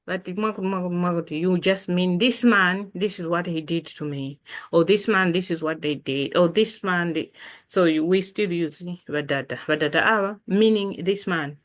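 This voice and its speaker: Slow-spoken Malawian woman teaching vocabulary. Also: fairly low pitch. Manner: repeats words deliberately for learners. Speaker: language teacher. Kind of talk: informal vocabulary lesson.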